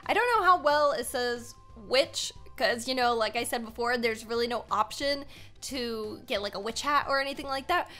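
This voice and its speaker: A young American woman hosts a gaming video in a high, bright voice. Her commentary is casual, chatty and expressive, full of exclamations, with music playing in the background. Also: moderate pace. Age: young adult.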